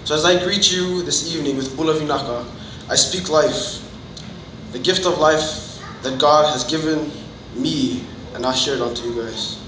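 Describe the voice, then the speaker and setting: A man in his twenties from Fiji with a Fijian accent, giving a personal testimony to a group in an earnest, halting way. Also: fairly high pitch. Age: 20s. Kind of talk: personal testimony, informal public speaking. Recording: roomy, distant mic, noticeable background noise.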